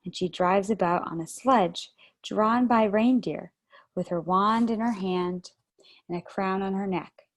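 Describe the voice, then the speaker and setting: A woman's voice: medium pitch, measured pace, close to an everyday voice. A woman reading aloud over a video call, voicing an eager young girl who gushes breathlessly. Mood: excited. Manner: breathless, gushing.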